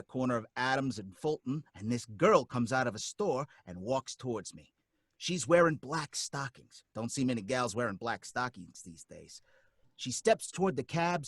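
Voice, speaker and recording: A man's voice: mid-range voice, no echo, studio quality. An actor playing a nervous working-class cab driver who narrates his own story, rambling in folksy street talk. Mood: wry. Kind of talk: radio drama acting.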